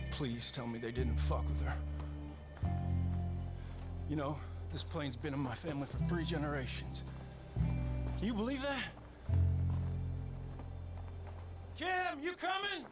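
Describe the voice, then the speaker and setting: A man's voice: mid-range voice, lightly acted. An actor playing a hot-tempered, foul-mouthed male bush pilot who breaks into swearing, emotional outbursts. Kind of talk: video game cutscene acting.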